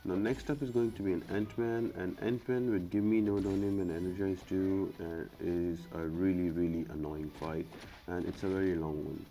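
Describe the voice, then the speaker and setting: A man's voice: sits low, fairly even intonation, normal speed, dark tone. A man giving casual, explanatory gameplay commentary as the host of a gaming guide. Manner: explanatory, instructional. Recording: clearly noisy background ambience.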